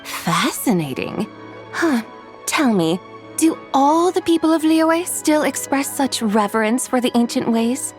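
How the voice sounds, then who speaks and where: A woman's voice: high-pitched, animated, faint music behind. A woman voicing a regal, youthful-seeming ancient immortal who speaks formally and haughtily in a video game. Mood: amused, haughty. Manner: formal, haughty, measured recitation. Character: lofty ancient female immortal in youthful form, curious, regal. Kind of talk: video game cutscene acting.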